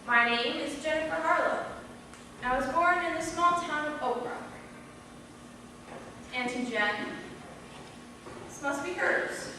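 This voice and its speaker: A woman's voice: high-pitched, takes it slowly, pauses often, pushed and bright, full character voice. A woman playing a bored, teasing young niece in an amateur stage play. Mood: musing. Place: community theater hall stage. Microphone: distant camera or room mic. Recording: echoey hall, distant mic, clear noise.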